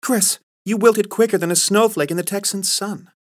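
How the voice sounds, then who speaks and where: A man's voice: high voice, normal speed, pitched above the performer's own voice, natural voice. A man voicing a poised, witty married woman who works in sales, speaking in a raised, teasing voice. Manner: teasing, prim.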